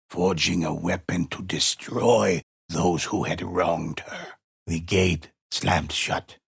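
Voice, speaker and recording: Deep, raspy old storyteller. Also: male voice, dry recording, clean studio sound, exaggerated voice. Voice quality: husky, gravelly. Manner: measured, portentous. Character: old male calligrapher storyteller of legends, calm and mysterious. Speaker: narrator of legends. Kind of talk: dramatic storytelling narration for a video game.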